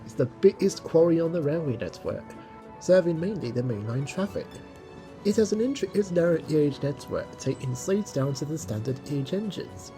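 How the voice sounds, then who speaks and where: Woman narrating, low-pitched and lively, over music. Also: normal speed. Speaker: audio story narrator. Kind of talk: scripted children's story narration.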